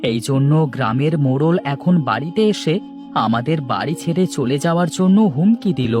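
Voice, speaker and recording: A woman's voice: a bass voice, expressive, moderate pace, over music, pitched below the performer's own voice. Meek cartoon villager, a woman putting him on, worried and humble, voice swinging up and down. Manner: pleading, anxious, deferential. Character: poor young village husband, meek and worried.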